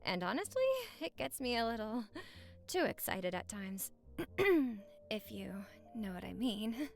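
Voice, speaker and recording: High, lively, playful young wife played by a woman. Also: unhurried, creaky, soft background music. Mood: tender, teasing.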